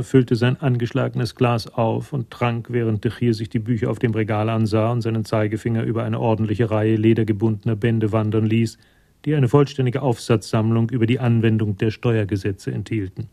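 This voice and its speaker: A man narrating a radio crime drama as a detached storyteller, measured and matter-of-fact. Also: medium pitch, level tone, creaky, mellow, lightly acted.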